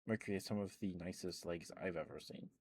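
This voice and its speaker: A man with a fairly low voice hosts a casual ASMR stream. He talks close to the microphone in a loose, rambling way. He addresses his viewers affectionately, often with pet names. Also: quick pace, darkish tone, a little hiss or hum.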